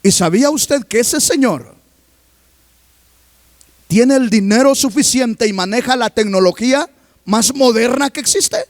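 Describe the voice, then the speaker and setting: A man preaching a sermon as pastor, reading scripture aloud and constantly asking the congregation questions, rising to emphatic shouts. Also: extremely high pitch, unhurried, pauses often, no room sound, studio quality. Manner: reads scripture aloud between remarks.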